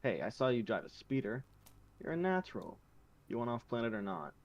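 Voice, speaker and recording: A man reading aloud the lines of a commanding male squadron leader from a comic, in a plain brisk manner. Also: medium pitch, very animated, lo-fi sound. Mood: firm.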